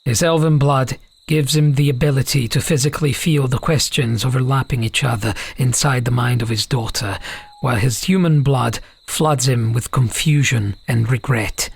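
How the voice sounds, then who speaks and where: A man's voice: mid-range voice, moderate pace, vocal fry, husky, no echo. A man giving scripted narration for a fantasy audiobook, as the storyteller between characters' lines.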